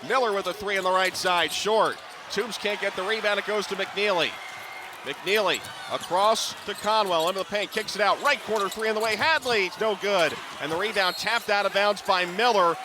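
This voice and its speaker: Higher-voiced male basketball play-by-play announcer. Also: normal speed, noisy background. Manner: clipped running descriptions, rising to excited calls. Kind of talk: live radio sports commentary. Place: courtside in a basketball arena.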